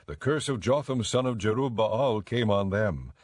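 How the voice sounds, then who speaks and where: A man narrating a dramatized audio Bible in a measured, clearly enunciated storytelling style. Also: on the low side, animated, slight background noise.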